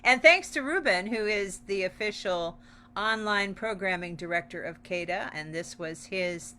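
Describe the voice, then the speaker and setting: A woman's voice: mid-range voice, moderate pace, sharp tone, slight background noise. A woman co-hosting a casual online theater talk-back in a welcoming, emphatic way. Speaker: theater company representative, co-host. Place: online video call.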